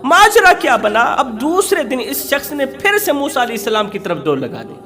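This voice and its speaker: High-pitched male preacher, dramatic and emotional. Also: wildly swooping pitch, moderate pace, sharp tone, faint music behind. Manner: dramatic storytelling with emphatic repetition. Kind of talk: emotional Islamic sermon told as a moral story.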